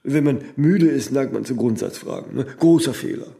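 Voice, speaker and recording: Male actor doing famous old workaholic guy, dark mellow voice, stubborn, vain, philosophises, keeps adding little tag questions, radio drama. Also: medium pitch, moderate pace, gravelly, close to an everyday voice. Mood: stubborn, brisk. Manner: rambling pronouncements, frequent tag "ne?".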